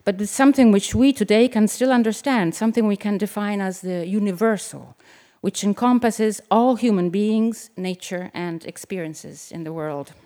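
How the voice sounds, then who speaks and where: An Italian woman, a novelist, reading her essay aloud at a live event in a measured, reflective way, with the odd aside. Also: mid-range voice, mellow. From Italy. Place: event venue with an audience.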